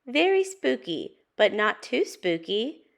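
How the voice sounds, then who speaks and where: A woman's voice: medium pitch, sing-song, slow pace, vocal fry, heavily acted. A woman voicing an eager, exclaiming young boy cat from a children's storybook. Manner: exclaiming, wide-eyed and eager.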